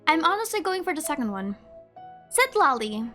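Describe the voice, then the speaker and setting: A woman's voice: sits high, sing-song, moderate pace, bright, forceful tone, soft background music. A woman hosting a video with casual scripted narration. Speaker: video creator, host.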